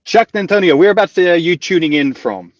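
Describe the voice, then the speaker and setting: A man's voice: high-pitched, lively intonation, brisk, no room sound, distant mic, highs cut off. A man from New Zealand with a New Zealand accent, hosting a live webinar in an upbeat, chatty way with plenty of fillers and restarts. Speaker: webinar host.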